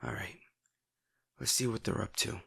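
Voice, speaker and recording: Man acting a husky, volatile teenage bully boy. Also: fairly low pitch, sing-song, fairly bright tone, heavily acted. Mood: muttering, irritated. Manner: gruff, swearing, sighing, halting when upset. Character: brash teenage bully boy, abrasive but secretly caring. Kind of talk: dramatic audio roleplay addressed to the listener.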